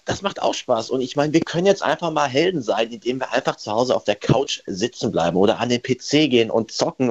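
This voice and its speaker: A man co-hosts a podcast in a joking, easygoing way. His voice sits at a middle pitch, and the sound is a little dull and muffled. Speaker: podcast co-host. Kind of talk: casual podcast chat.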